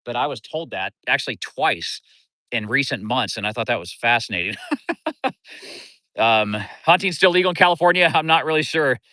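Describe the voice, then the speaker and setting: Bright-voiced Midwestern man chatting outdoors. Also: mid-range voice, moderate pace, no room sound. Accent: Midwestern American. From Kansas City. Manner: relaxed, rambling, greets viewers by name. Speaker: livestream host and fishing coach. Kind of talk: casual livestream chat with viewers. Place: outdoors by a river in town.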